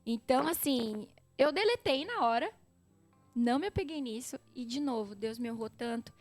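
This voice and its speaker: Brazilian woman telling stories, fairly high voice. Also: moderate pace, faint music behind. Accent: Brazilian Portuguese. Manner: lively storyteller, often addresses listeners directly. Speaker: podcast guest. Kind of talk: casual chat.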